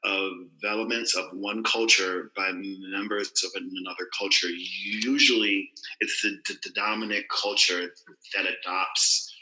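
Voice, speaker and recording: A man's voice: low-pitched, fairly even intonation, sharp tone, roomy sound, low-quality recording. A young man co-hosting a casual podcast, explaining a topic at an easy pace with small stumbles. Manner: explains slowly, reads a definition aloud with small stumbles. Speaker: podcast co-host presenting a debate topic.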